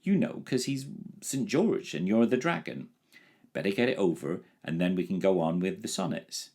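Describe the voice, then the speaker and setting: A man's voice: low voice, very animated, moderate pace, creaky, a little mellow, breathy edge, pitched above the performer's own voice, full character voice. A man doing an eager, pleading young boy. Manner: earnest, pleading.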